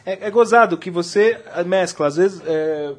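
A man's voice: sits high, normal speed. A man with a Brazilian accent hosting a radio interview, asking hesitant questions with frequent murmured agreement. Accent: Brazilian Portuguese. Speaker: radio show host.

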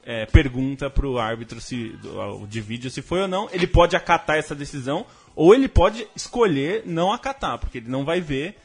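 Husky Brazilian man explaining refereeing rules. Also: on the high side, lively intonation, fairly quick, hardly any pauses, faint music behind. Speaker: panelist. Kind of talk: casual sports chat.

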